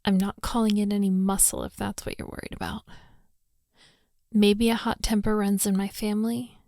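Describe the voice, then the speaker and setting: A woman's voice: medium pitch, level tone, moderate pace, mellow, natural voice. A woman playing a hot-tempered, lovestruck young daughter of a mafia boss in a girlfriend roleplay audio drama, exasperated and scolding. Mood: sarcastic, menacing. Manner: scolding, exasperated, with sighs and breathy asides.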